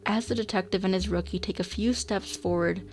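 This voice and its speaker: A woman's voice: mid-range voice, fairly even intonation, brisk, a little mellow, faint music behind. A woman narrating a scripted radio drama as storyteller.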